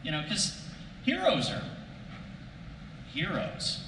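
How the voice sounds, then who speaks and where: A man's voice: sits high, wildly swooping pitch, takes it slowly. Male panelist, casual and self-correcting, roomy echo and noticeable noise. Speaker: voice actor on a panel. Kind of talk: casual unscripted panel talk. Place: large convention panel room. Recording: distant, echoey hall, noisy background.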